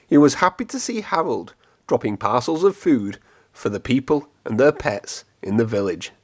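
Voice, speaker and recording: A man reading a children's storybook aloud in an expressive, clearly phrased storytelling manner. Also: on the low side. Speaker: storybook reader.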